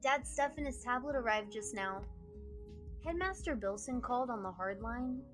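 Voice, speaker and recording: Inquisitive schoolgirl played by an actress: high voice, audio drama delivery, music clearly underneath. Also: expressive, normal speed, lightly acted. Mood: puzzled, questioning. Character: inquisitive young schoolgirl, twin daughter.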